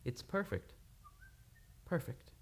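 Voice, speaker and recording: An actor playing a charming but unstable doctor with dramatic intensity in an old-time radio play. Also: male voice, slow pace, close to an everyday voice. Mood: teasing, charming. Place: radio studio.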